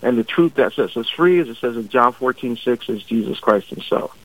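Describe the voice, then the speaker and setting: A man's voice: medium pitch, expressive, brisk. A man in his fifties, a deacon from Barbados, talking about his faith and calling in a radio interview over the phone, restarting phrases as he goes. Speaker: deacon guest. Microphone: phone line. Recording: muffled phone-line interview audio.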